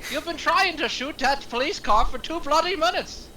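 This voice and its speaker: A person voicing an exasperated, high-strung getaway driver in an amateur script read, scolding and swearing. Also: moderate pace, bright, forceful tone, slight background noise. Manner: sweary, berating.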